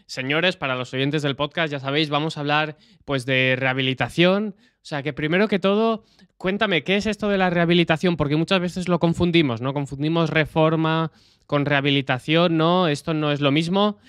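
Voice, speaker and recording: Host, man, quick and casual, slightly high voice. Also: fairly bright tone, smooth, no room sound, clean studio sound. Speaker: podcast host. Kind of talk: podcast interview.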